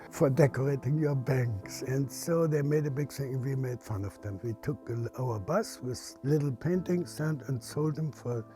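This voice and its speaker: An elderly man in his eighties with a German accent and a creaky voice, talking casually in a blunt, playful way. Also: medium pitch, dark tone. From Silesia, Central Europe. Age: 80s. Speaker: puppeteer and theater founder. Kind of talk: casual interview storytelling.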